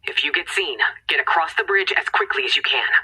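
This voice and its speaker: A woman's voice: deep voice, animated, fairly quick, sharp tone, slightly rough, full character voice, low-quality recording. A woman playing an urgent, commanding scientist in a video game, giving terse, tense mission directions. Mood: tense, cautious.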